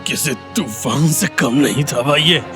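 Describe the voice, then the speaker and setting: A man voicing a thug's excitable, easily cowed sidekick in a Hindi audio story. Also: medium pitch, measured pace, over music, lightly acted. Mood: shaken.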